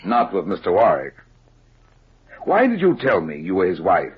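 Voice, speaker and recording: An actor playing a tough, wisecracking private detective in a 1950s radio drama, with a hardboiled, slangy, wry manner and a husky voice. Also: male voice, low-pitched, wildly swooping pitch, normal speed. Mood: blunt, probing. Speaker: private investigator. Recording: dull, lo-fi vintage 1950s radio recording.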